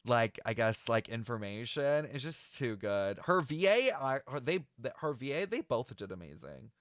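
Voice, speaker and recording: A man giving casual, rambling reaction commentary in a chatty, slangy, excitable way. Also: mid-range voice, normal speed, dry recording, studio quality. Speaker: reaction streamer.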